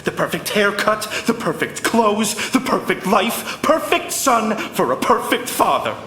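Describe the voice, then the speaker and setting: An actor playing a spoiled, pressured teenage boy, indignant and petulant, in an animated drama. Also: sits high, measured pace, exaggerated voice. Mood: frustrated, hurt. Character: spoiled, pressured teenage son of a powerful boss. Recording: echoey hall with faint noise.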